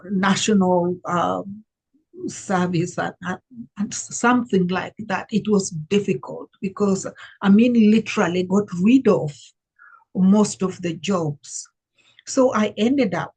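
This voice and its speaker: An older woman from Uganda, a life coach, telling her life story in an interview in an unhurried, reflective way with many fillers. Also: medium pitch, a little mellow, husky, rough recording.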